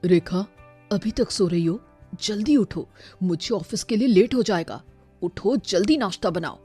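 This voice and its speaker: A woman's voice: medium pitch, a little slow, mellow, husky, faint music behind. A woman voicing an exasperated working husband who scolds and lectures in a storybook tale. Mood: annoyed. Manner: reproachful, lecturing.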